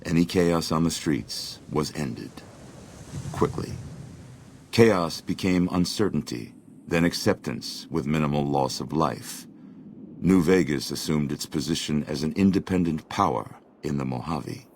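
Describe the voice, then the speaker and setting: A man narrating a game epilogue as a solemn, measured storyteller. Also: rumbling low, vocal fry, full character voice. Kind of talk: scripted dramatic game narration. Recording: faint background noise.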